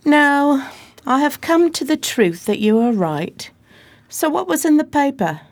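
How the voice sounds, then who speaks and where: Woman acting an earnest, very expressive detective's assistant. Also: sits high, a little slow, no echo, exaggerated voice. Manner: expressive, formal storytelling. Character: earnest, easily astonished female detective's assistant and narrator. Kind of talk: period radio drama narration and dialogue.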